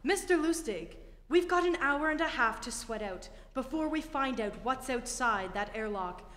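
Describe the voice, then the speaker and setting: A woman's voice: high-pitched, expressive, normal speed, a bit bright. A woman playing a stern, commanding naval spaceship captain who gives clipped orders in old-time radio drama style. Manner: authoritative, clipped military orders. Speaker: actor in a radio play. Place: theatre stage, live performance. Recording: echoey theatre hall.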